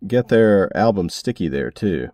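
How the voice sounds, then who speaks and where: Man, podcast host, low dark voice, casual delivery, playful asides, dry sound. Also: sing-song, measured pace, dry recording, soft background music. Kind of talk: casual podcast hosting and book promotion.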